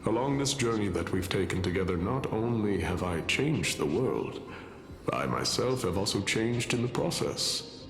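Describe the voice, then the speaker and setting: An actor playing a weary, noble warrior in a fantasy game, gravelly and solemn, speaking grandly and serenely about his end. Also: animated, moderate pace, a bit bright. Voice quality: low, gravelly. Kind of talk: dramatic fantasy game voice acting. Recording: echoey voice over prominent music.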